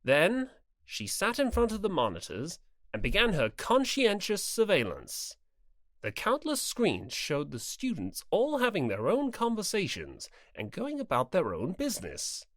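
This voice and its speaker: Narrator for fiction audiobook: expressive, varied pitch, scripted delivery, very dry studio-like sound. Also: a little slow, no room sound.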